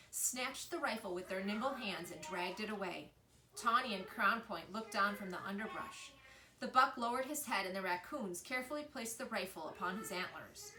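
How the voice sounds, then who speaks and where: A woman reading a children's book aloud in an expressive storytelling style. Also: medium pitch. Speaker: reader, storyteller. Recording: echoey room with faint noise.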